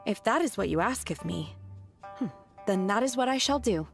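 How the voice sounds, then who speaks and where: A woman voicing a reserved, blunt young woman who speaks calmly and literally. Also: medium pitch, normal speed, gravelly. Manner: calm, flat and literal. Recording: game audio with soft music.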